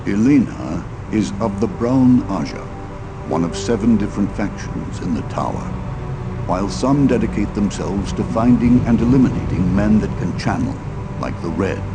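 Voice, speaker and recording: A man's voice: on the low side, lively intonation, normal speed, natural voice. A man playing a solemn storyteller, narrating an epic fantasy legend in a portentous, dramatic way for a video game. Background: loud music.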